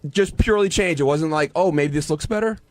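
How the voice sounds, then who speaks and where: A man's voice: a little high, fairly quick, no room sound. An American man arguing casually on a radio show, defensive and interrupting with insistent questions. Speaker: radio show cast member. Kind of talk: heated casual argument.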